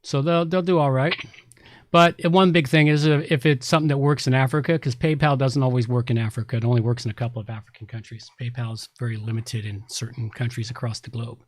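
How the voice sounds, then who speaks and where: A man's voice: mid-range voice, steady tone, quick pace, no echo. Blunt, informal man in dry, close sound. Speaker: podcast co-host. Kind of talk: casual opinionated tech chat.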